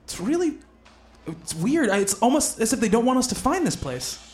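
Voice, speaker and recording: A man playing an earnest, bookish doctor and devoted fiancé in a radio play, speaking in a measured, literary way. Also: very high voice, sing-song, husky, heavily acted. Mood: hesitant, affable. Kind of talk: radio play narration and dialogue.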